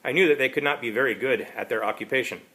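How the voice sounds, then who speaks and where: A man's voice: mid-range voice, quick pace, bright, forceful tone, close to an everyday voice. A man reading aloud as an eccentric, oddly polite time traveler who speaks in stilted, formal wording. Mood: stiltedly polite. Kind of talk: amateur storybook reading of character dialogue.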